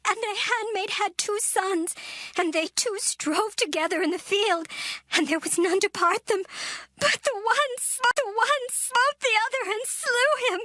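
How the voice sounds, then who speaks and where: A woman's voice: extremely high pitch, a little slow, pushed and bright, no room sound, exaggerated voice. An actress playing a distressed widow beseeching a king in a theatrical dramatized scripture reading. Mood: distressed pleading. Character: pleading, distressed widow woman petitioning a king. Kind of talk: dramatized Bible audio acting.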